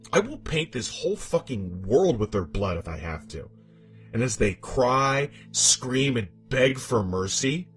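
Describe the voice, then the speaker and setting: A man voicing a vengeful, demonic scorned wife in a horror story monologue, with theatrical, taunting menace. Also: medium pitch. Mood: cold, vengeful. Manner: theatrical, taunting, swinging between hushed menace and outrage.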